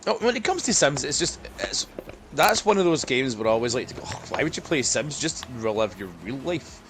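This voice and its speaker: A man's voice: medium pitch, big pitch swings, fairly quick, noisy background. A man chatting casually in a jokey way on a podcast. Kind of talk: casual chat.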